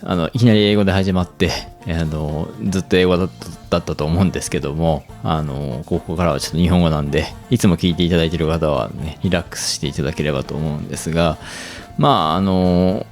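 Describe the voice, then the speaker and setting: A man's voice: low-pitched, fairly even intonation, very fast, darkish tone, faint music behind. A man hosting a podcast, chatting casually in a hesitant, polite manner. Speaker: podcast host. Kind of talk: casual spoken podcast outro.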